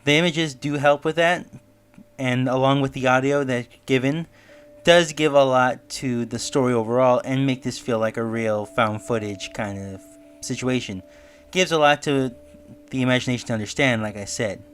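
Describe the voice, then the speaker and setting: A man's voice: mid-range voice, normal speed. A man giving explanatory, musing commentary in the style of a semi-scripted video essay review. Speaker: online reviewer and theorist. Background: background music.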